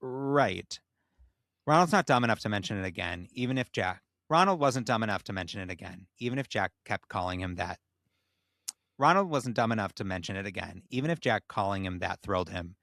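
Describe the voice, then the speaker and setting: Male podcast host, lower register, dry close sound. Playful dramatic story reader who drops into chatty asides. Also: lively intonation, fairly quick, gravelly, a bit bright, no room sound. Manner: playful, dramatic reading broken by asides and laughter. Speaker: podcast host reading fan fiction aloud. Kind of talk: comic story reading with casual banter.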